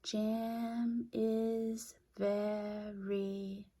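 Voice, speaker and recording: A woman's voice: mid-range voice, level tone, extremely slow, dark tone, pitched above the performer's own voice. A chatty little girl from a storybook, as a woman reader acts her out. She is fussy and fond of jam, speaking in a childlike, particular way. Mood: singsong. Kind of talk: children's storybook read-aloud.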